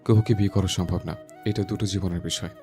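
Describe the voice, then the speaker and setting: Man acting deep, fast-talking, unbending young man. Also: fairly even intonation, mellow, faint music behind, close to an everyday voice. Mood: firm refusal. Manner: blunt, firm. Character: resolute young man, a respectful but unbending grandson. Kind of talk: dramatised audio story.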